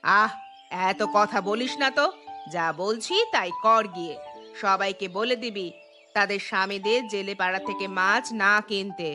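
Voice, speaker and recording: A woman's voice: medium pitch, moderate pace, over music, natural voice. A woman voicing a vindictive, commanding, wealthy village matriarch in a cartoon, with a scornful manner. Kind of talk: Bengali cartoon dialogue.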